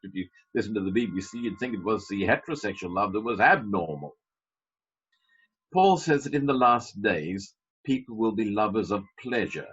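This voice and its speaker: A man's voice: on the low side, fairly bright tone, husky. A man preaching a sermon as a pastor, emphatic, with wry asides. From Britain. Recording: dull lo-fi sermon recording.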